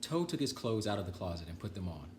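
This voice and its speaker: A man reading a children's story aloud, with deliberate phrasing and pauses. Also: deep voice, sing-song, darkish tone, slight background noise.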